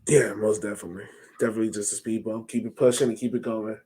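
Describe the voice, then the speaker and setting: A man's voice: on the low side, level tone, fast, dark tone, slightly rough. An American man in his thirties chats casually and easygoing as a sports podcast host on a video call. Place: home room, indoors, on a video call.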